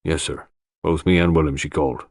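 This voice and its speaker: A man acts out an older rustic gardener in an audiobook, very low and mumbling. He speaks slowly and cautiously, with humble deference, in a dry close recording. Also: fairly even intonation, a little mellow, dry recording. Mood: cautious, deferential. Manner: mumbling, hesitant, slow rustic speech. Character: slow, cautious, deferential older male gardener with bent back.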